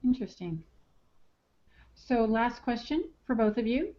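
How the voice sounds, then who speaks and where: A woman's voice: fairly high pitch, animated, measured pace, mellow. A woman moderating a casual podcast and putting questions to the speakers. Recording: dull lo-fi audio.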